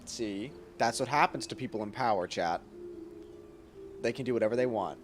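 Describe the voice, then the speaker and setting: A man's voice: mid-range voice, normal speed, background noise. A man streaming a game with casual, jokey, slangy commentary. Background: game music.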